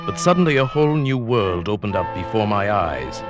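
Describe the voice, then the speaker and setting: An actor playing a henpecked, mild older professor in an old-time radio drama, with a hesitant, formal, polite manner. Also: male voice, lowish voice, sing-song, fairly quick, music in the background. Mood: musing, dry.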